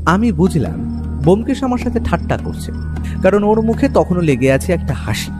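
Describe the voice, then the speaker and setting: A Bengali man narrates a detective audio story in a dramatic, scripted style. His voice sits fairly high and moves with lively intonation, with music clearly playing underneath. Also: moderate pace.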